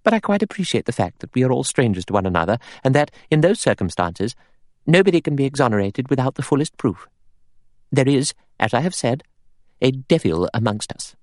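A man's voice: mid-range voice, sing-song, brisk, dry recording, clean studio sound, voice pushed up, lightly acted. A man voicing a self-righteous older woman in an audiobook, cold and prim, with a clipped, haughty, formal delivery. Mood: cold, affronted.

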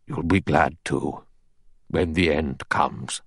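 A male reader performs an old general in an audiobook, very low and husky. He is vague and lonely, haunted by guilt and resigned to death, musing in halting phrases. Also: no room sound, studio quality, heavily acted. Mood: gentle resignation. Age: older. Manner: halting, distracted, musing aloud.

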